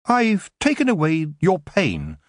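Man voicing a sharp young girl witch. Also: sits high, huge pitch swings, takes it slowly, no room sound, studio quality, voice pushed up, exaggerated voice. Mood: firm. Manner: crisp, sweetly firm.

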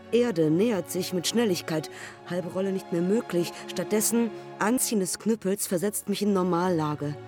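Dark-voiced actress as an intense woman pilot. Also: medium pitch, lightly acted. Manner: terse, staccato fragments. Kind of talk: German radio play monologue, telegraphic.

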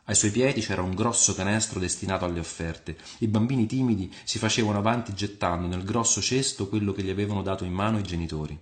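A man reading a scripted literary historical tale as narrator, in a measured, dramatic storytelling manner. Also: lowish voice. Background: music under the voice.

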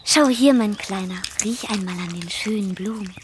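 A woman's voice: medium pitch, normal speed, slight background noise, close to an everyday voice. An actress playing a sweet, pampered, childish young lady in a German radio drama, talking in cooing, artless pet talk. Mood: cooing, affectionate.

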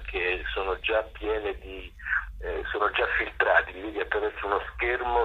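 An older man, a stage actor, reflecting in a radio interview in a halting, searching way, repeating words, with a husky voice. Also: low voice, sharp tone. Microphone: telephone. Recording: rough, harsh telephone line.

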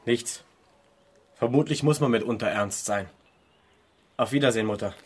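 An actor playing a stern, sullen young sailor and protective brother, speaking brusquely in a radio play. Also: male voice, mid-range voice, moderate pace, breathy edge, lightly acted. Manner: brusque, grumbling. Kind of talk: German radio play acting.

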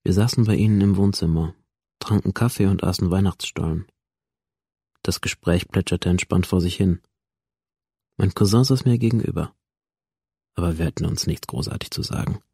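A withdrawn, bitter young man in a radio drama, telling his story in a low, mellow voice. He is understated and matter-of-fact, with a slightly husky edge and a close, dry sound. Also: moderate pace, long pauses, no room sound. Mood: brooding, detached. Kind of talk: radio drama, introspective first-person narration.

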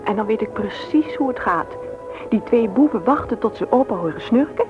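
A woman's voice: fairly high pitch, expressive, moderate pace, over music, natural voice. An actress playing a candid, talkative widowed mother in a naturalistic radio play. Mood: lively, confiding. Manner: talkative, confiding, forthright. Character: candid, chatty widowed mother, frank about her feelings. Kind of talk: naturalistic radio drama acting. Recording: muffled, noisy old radio play recording.

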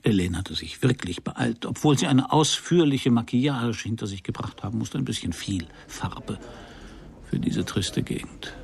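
An actor playing a wry, self-assured Parisian private detective in a radio crime drama, speaking dryly in terse, laconic sentences. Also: male voice, mid-range voice, animated, normal speed, creaky. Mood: dry, brooding. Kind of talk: first-person radio crime drama narration and dialogue.

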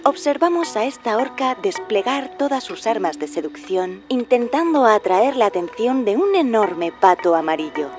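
An actress playing a solemn, deadpan female narrator of a parody nature documentary on radio. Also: mid-range voice, lightly acted.

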